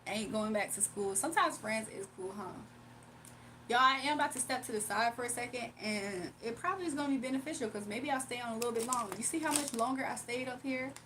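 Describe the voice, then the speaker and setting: A woman's voice: on the high side, fast talker, noisy background. A young woman streaming live, chatting casually with her viewers, laughing often and reading out chat messages.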